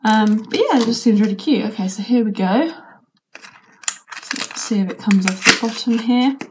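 A young woman with a British accent and a croaky voice from a sore throat, chatting casually while unboxing a toy, with lots of ums and likes. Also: fairly high pitch, moderate pace, background noise. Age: young adult. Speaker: toy reviewer. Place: bedroom with toy shelves, indoors.

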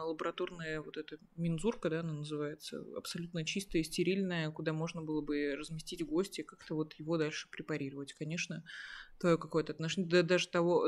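A woman hosting a live stream, reading viewer questions and answering in a thoughtful, hesitant way. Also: fairly low pitch. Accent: Russian, slightly soft. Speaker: stream host reading chat.

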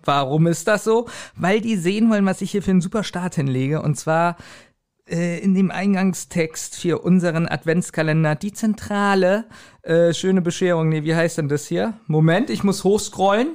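Higher-voiced man chatting casually. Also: moderate pace, a little mellow, clean tone. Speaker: podcast co-host. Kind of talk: casual chat.

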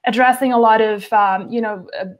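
A woman's voice: fairly high pitch, steady tone, brisk. A woman in her forties, a guest on a video call interview, talking chattily with many fillers and restarts. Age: 40s. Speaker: guest, nutrition author. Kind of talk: casual interview talk. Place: home, by a window with plants behind.

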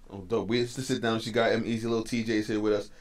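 Brief: man, 30s, radio host, rapid slangy chat into a studio mic. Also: fairly low pitch, flat delivery. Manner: quick, upbeat, slangy questions. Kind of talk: casual interview. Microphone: studio mic on boom arm.

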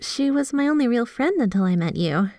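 Woman acting a high-voiced, gushing yandere girlfriend. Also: lively intonation, fairly quick, a little mellow, no room sound, clean studio sound, natural voice. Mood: tender, nostalgic. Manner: gushing, giggly, teasing, bashful asides. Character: sweet, devoted, flustered yandere girlfriend, obsessive and nostalgic. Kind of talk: scripted ASMR roleplay addressed to the listener.

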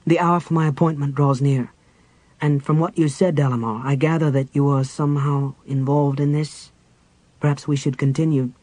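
A man's voice: fairly high pitch, fairly even intonation, normal speed, a little mellow, no room sound, lightly acted. A man voicing an earnest, wary male half-elf warrior who speaks plainly and directly. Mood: polite.

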